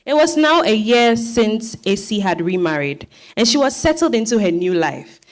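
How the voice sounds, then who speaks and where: A woman's voice: mid-range voice, big pitch swings, normal speed. Woman narrator with a Ghanaian accent. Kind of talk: scripted narration in a stage reading.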